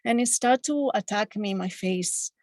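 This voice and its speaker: Woman slowly sharing on a video call. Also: mid-range voice, a little mellow, no room sound. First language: Greek. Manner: slow, halting, reflective storytelling. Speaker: satsang participant.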